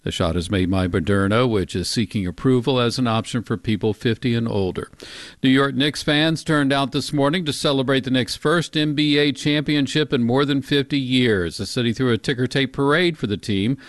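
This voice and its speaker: A man's voice: mid-range voice, normal speed, no room sound. A man anchoring a newscast, reading scripted news.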